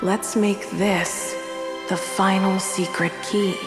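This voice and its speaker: Wistful young inventor woman, game cutscene, slow and gentle, big reverb. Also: mid-range voice, flat delivery, over music. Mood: wistful, playful. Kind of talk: video game voice acting.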